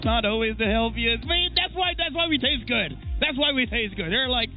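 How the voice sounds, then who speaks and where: Male esports caster, playful, high and lively voice, slightly dull stream audio with music under it. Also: normal speed. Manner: playful, joking with chat, animated. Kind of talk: live esports commentary and banter.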